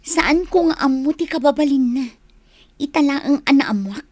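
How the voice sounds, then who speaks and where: A woman's voice: high-pitched, normal speed, dark tone, dry recording, lightly acted. A woman playing an aggrieved young wife in a radio drama. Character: aggrieved young wife chafing at a jealous husband.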